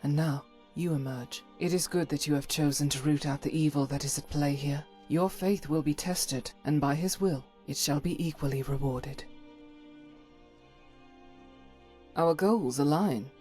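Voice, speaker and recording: Zealous woman cleric for fantasy game, acted, low for a woman, formal, unyielding, faint music. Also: moderate pace, hardly any pauses, mellow, close to an everyday voice. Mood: resolute. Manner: solemn, formal, righteous. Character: zealous, stern female cleric, devout and unyielding. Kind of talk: video game voice acting.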